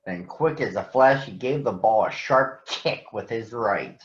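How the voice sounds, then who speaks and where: An American man addressing children and reading a picture book aloud in a deliberate, announcer-like way. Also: lowish voice, animated. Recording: echoey room, slightly dull sound.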